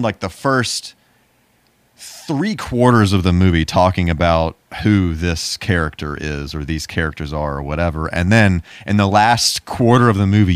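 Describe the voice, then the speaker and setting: A man's voice: low voice, dry recording. A man chatting casually as a podcast host, in an informal, rambling way. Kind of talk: casual podcast chat about movies.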